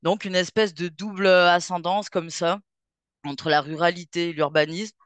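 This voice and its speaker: A woman's voice: lowish voice, fairly even intonation, normal speed, no echo. A woman from France with a French accent, telling her story informally as an interview guest, thinking aloud as she goes. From France, near Saint-Étienne. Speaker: festival founder, interview guest. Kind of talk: casual chat, personal storytelling.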